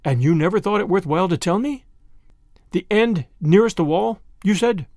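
A male storyteller brings to life a fierce little mongoose in a storybook, urgent and set on his task. The voice is bright and lively, with a gravelly grain. It sounds dry and close. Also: sits high, normal speed, no room sound. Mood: impatient. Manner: urgent, determined.

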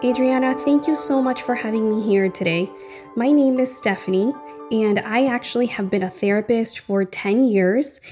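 A woman from Ecuador, an attorney introducing herself as a guest in an earnest, measured way. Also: on the high side, music in the background. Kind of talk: self-introduction.

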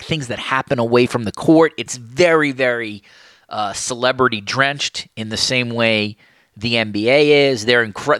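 A man's voice: medium pitch, sharp tone, dry recording, clean studio sound. A man hosting a podcast interview, asking loose questions and thinking aloud with plenty of hedges. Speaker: podcast host. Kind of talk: casual interview chat.

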